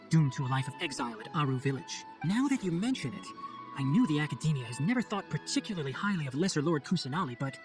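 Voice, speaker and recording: A young forest scholar in a game cutscene, calm and pragmatic. His speech is articulate and thoughtful with lively melody, over faint music. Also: fairly high pitch. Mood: concerned. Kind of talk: video game cutscene voice acting.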